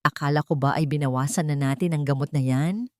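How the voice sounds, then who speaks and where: A woman's voice: deep voice, a little fast, no echo, clean studio sound, lightly acted. Woman voicing an anxious, hesitant father. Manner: hesitant, doubtful. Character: anxious, torn wealthy father, hesitant and worried. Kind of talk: audio drama character dialogue.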